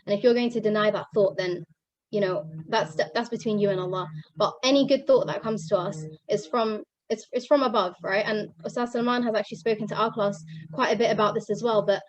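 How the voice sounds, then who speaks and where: A woman's voice: mid-range voice, steady tone, fairly quick, no echo, rough recording. A young woman from Pakistan whose first language is Urdu gives an earnest testimonial to fellow students, with many fillers and restarts. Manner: earnest, many fillers and restarts, switches between Arabic and English.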